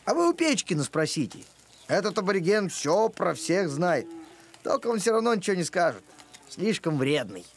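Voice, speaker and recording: A man's voice: sits high, big pitch swings, moderate pace, creaky, noisy background, heavily acted. A man voicing a simple, cheeky, easygoing dog in a cartoon, with an eager, naive manner. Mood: matter-of-fact, cheeky. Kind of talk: animated cartoon voice acting.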